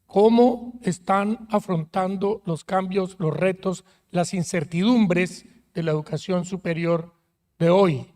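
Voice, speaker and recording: A man from Colombia, a university rector speaking on a conference panel in a friendly, measured way with frequent hesitations. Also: high voice, darkish tone. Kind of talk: panel talk. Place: conference auditorium.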